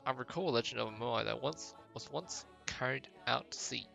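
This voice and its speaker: A man's voice: medium pitch, fairly quick, vocal fry, bright, forceful tone. Man as protective fantasy game islander, superstitious, solemn, emphatic, faint music behind. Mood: grave storytelling. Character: protective, superstitious islander guarding ancestral statues. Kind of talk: amateur game dialogue voicing.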